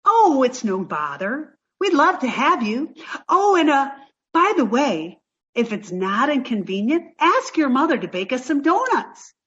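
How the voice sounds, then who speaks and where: An actress playing a sweet, naive, dim-witted young woman in an old-time radio sitcom style, earnest and slow on the uptake. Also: sits high, very animated, a bit bright, muffled recording, heavily acted. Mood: naive, chirpy.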